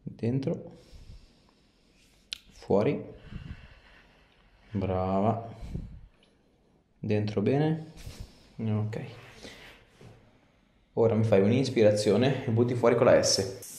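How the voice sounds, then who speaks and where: A male chiropractor speaks in a fairly low voice. He gives casual clinical instructions and chats with his patient. The small treatment room adds clear echo to his words. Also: drawn-out words, long pauses, warm tone, a little hiss or hum.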